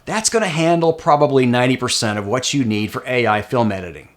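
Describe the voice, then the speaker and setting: A man narrating a scripted software tutorial as its host. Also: mid-range voice, fast.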